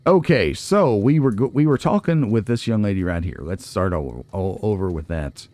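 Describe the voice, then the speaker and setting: A man's voice: lowish voice, lively intonation, normal speed, darkish tone, dry recording. A man giving casual gameplay commentary, chatty and folksy, musing aloud to himself. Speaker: let's play host.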